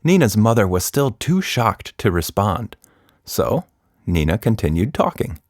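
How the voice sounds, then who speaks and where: Lively, animated male storyteller. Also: fairly low pitch, a little slow, no room sound, clean studio sound. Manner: animated, teasing, talks directly to the listener. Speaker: author and storyteller of a children's podcast. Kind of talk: playful scripted storytelling for children.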